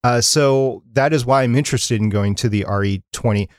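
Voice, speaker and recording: A man co-hosting a podcast, calmly explaining at length in casual chat, with a bright voice on a studio mic. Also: mid-range voice, normal speed, no room sound. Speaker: podcast co-host. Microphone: studio dynamic mic.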